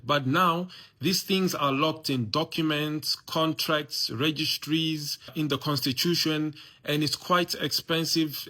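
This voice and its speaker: A man with a bright, forceful voice delivers a lecturing monologue to his viewers. He keeps turning to them with "guys" and "you know" as he builds his argument point by point. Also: mid-range voice. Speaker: commentator. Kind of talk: opinion monologue.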